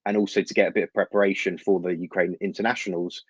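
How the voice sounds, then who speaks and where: Man, London accent, very low pitch, football journalist guest, conversational, dry but muffled recording. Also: little pitch movement, fast talker, husky, no echo. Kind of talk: conversational interview answers. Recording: slightly dull, lo-fi microphone sound.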